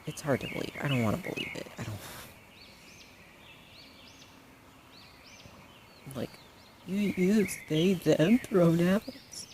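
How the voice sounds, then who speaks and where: A man's voice: fairly high pitch, darkish tone. A young man playing a supportive best friend in an audio roleplay, talking casually and hesitantly in rambling, trailing phrases, on a noisy recording. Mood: incredulous. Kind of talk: audio roleplay addressed to the listener, casual improvised chat.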